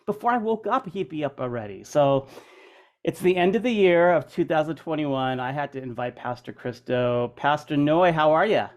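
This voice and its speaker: A middle-aged man hosting a casual interview, talking informally and banteringly, with plenty of "like" and "man". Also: a little high. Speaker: interview host.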